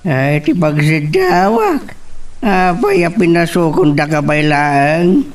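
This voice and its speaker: A man with an Ilocano accent playing a concerned, gentle older father in an amateur audio drama. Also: on the high side, big pitch swings, slow pace, far from the mic. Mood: gently advising.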